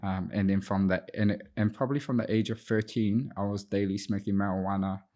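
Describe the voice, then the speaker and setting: Man, thirties, New Zealand accent, native Te Reo Māori speaker, lowish voice, flat intonation, reflective, hesitant, interview guest. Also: fairly quick. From Auckland, New Zealand. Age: 30s. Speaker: podcast guest, addiction counsellor. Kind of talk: personal story in a podcast interview.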